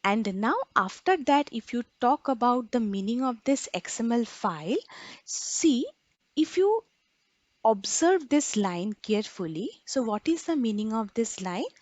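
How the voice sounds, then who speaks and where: A woman's voice: fairly high pitch, animated, unhurried, dry recording. A woman instructor giving an explanatory lecture in an emphatic, didactic way, often posing questions and answering them herself. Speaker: programming instructor.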